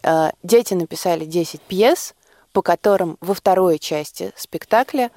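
A woman guest in a radio studio, explaining a theatre project haltingly and correcting herself along the way. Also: fairly low pitch, warm tone, dry recording. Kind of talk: explanatory interview talk.

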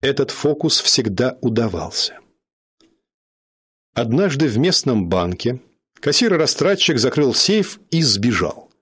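A man narrating a radio drama in a measured, dramatic storytelling manner. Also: medium pitch, fairly bright tone, slightly rough.

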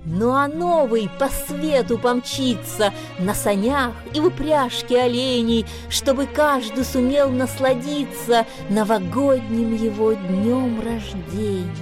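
A gentle female storyteller played by an actress recites a snowy fairy tale in a radio play. Her voice is fairly high and unhurried, lyrical and expressive, with clear lullaby-like music underneath. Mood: tender, festive. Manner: slow, expressive, lyrical delivery. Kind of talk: poetic New Year radio play recitation and song.

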